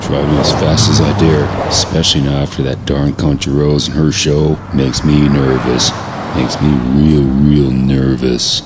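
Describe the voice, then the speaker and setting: Very deep, slow, dim crook's sidekick. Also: male voice, little pitch movement, background noise. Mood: nervous. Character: slow-witted, nervous male getaway-driver sidekick to a crook. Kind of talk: radio play acting.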